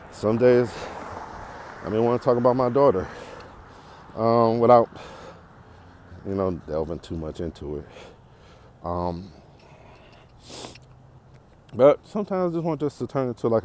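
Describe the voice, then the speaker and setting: An American man in his forties talking casually and unscripted as a podcast host, in a reflective, halting, rambling way while walking outdoors. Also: mid-range voice, lively intonation, darkish tone. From Detroit area, United States. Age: 40s. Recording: clearly noisy outdoor walking recording.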